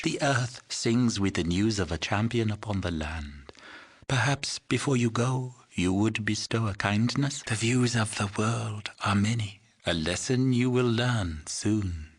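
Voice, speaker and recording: A man's voice: lowish voice, husky. An actor playing a frail old village shaman in a video game, mystical and solemn, speaking in ceremonious, cryptic riddles. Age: older. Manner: slow, ceremonious, cryptic, archaic phrasing. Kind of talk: scripted video game voice acting.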